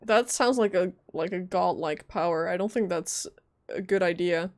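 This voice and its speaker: A woman's voice: lowish voice, moderate pace, noisy background. A woman livestreaming a game, giving casual, informal commentary and short reactive asides. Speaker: live game streamer. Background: game music.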